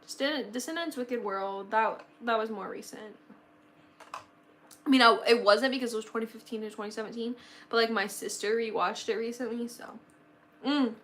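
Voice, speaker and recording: A woman's voice: fairly high pitch, normal speed, a bit bright, slight background noise. A young woman in her late teens chatting casually on a livestream, bubbly and rambling, her voice a little worn. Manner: bubbly, gushing, rambling with many fillers. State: slightly losing her voice. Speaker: livestream host.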